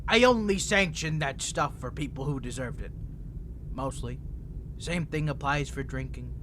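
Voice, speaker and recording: A man's voice: fairly high pitch, unhurried, noisy background, close to an everyday voice. A man voicing an unhinged, ancient imprisoned vampire who is flirtatious, needy and manipulative, talking in a theatrical, rambling, teasing way in an audio roleplay. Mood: flippant, menacing. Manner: theatrical, rambling, teasing, swinging between smug and pleading. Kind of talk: dramatic character audio roleplay.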